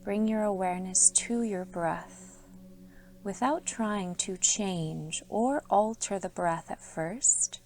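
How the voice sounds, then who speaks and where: A woman yoga instructor leading a guided sleep meditation, giving soft, soothing instructions with calm pauses. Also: fairly low pitch, slight background noise. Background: soft background music.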